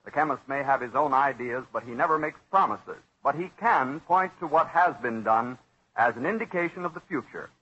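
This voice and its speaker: A man with an American accent reading a scripted sponsor announcement in the formal, measured, earnest manner of a 1940s radio announcer, on an old broadcast recording. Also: medium pitch, animated, bright, forceful tone, breathy edge, highs cut off.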